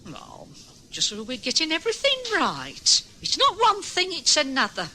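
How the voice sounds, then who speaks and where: Fretful, stammering housewife; high, bright and very lively. Vintage radio drama. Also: female voice, moderate pace, slight background noise, exaggerated voice. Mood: upset. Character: flustered anxious housewife, worried about her home. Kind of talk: 1960s radio drama acting.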